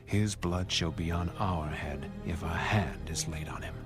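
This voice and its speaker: Deep, stern ancient spy. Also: male voice, a little slow. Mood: stern, solemn. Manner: solemn, formal. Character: stern ancient Israelite spy laying down oath terms. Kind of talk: dramatized Bible audio reading. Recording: background music under the voice.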